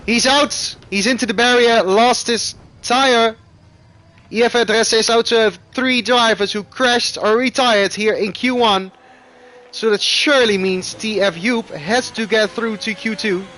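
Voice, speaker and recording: A man whose first language is Dutch, commentating live on an online racing game with excitable bursts. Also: high voice, measured pace. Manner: excitable, rising loud bursts at crashes. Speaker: esports race commentator.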